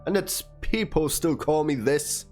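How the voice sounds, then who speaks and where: A man's voice: on the high side, unhurried, a little mellow. A man reading a written document and chat messages aloud in a theatrical manner, as a dramatic narration. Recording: music under the voice. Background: instrumental background music.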